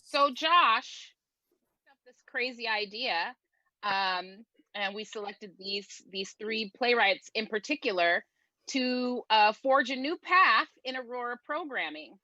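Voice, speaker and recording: Female panel host, bright and unhurried, conversational, on a slightly lo-fi recording. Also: on the high side, lively intonation, dry recording. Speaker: show host. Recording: slightly dull, lo-fi sound.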